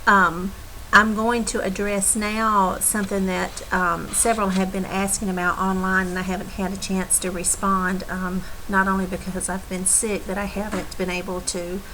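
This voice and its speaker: A woman talks straight to her team in a live update, her voice still a bit raspy from a lingering cold. She speaks in an earnest, reassuring way, stopping for ums and restarting her sentences as she goes. A faint hiss sits under the recording. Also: medium pitch, little pitch movement, normal speed, sharp tone, low-quality recording. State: recovering from a cold, slightly raspy. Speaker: team leader giving an update.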